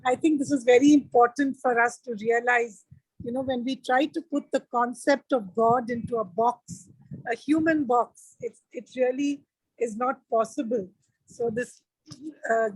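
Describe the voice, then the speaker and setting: A woman giving a halting vote of thanks on a video call, with many fillers. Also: fairly high pitch, husky, no echo, rough recording.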